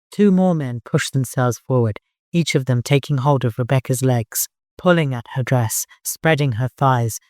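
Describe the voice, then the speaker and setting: A man narrating fiction as an audiobook, in an expressive, dramatic storytelling manner. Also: medium pitch, no room sound, clean studio sound.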